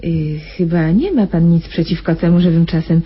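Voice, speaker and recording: An actress playing a sweetly patronizing, inquisitive private nurse in a radio drama, chatty, coy and cooing. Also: female voice, fairly low pitch, normal speed, breathy edge. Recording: dull radio recording with faint noise.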